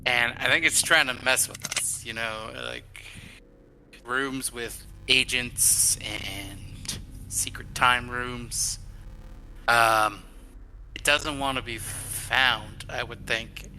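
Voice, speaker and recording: A man's voice: mid-range voice, steady tone, takes it slowly, background noise. A man playing a tabletop game, talking casually and hesitantly as he thinks things through aloud. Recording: music playing behind.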